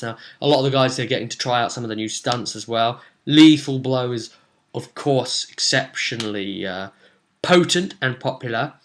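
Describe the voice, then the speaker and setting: Male game master, young adult, average pitch, casual and digressive vlog delivery with animated asides. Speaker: tabletop role-play game master and vidcast host. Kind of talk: casual rambling session recap to camera. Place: small indoor room at home.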